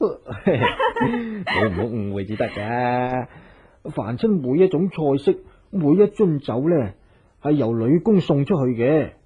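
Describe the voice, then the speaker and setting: An actor playing a timid, doting household cook in a Cantonese radio drama, hesitant, sighing and deferential, with a Hong Kong accent. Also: male voice, medium pitch, huge pitch swings, takes it slowly, mellow, full character voice. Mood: explaining. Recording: muffled narrow-band old radio recording.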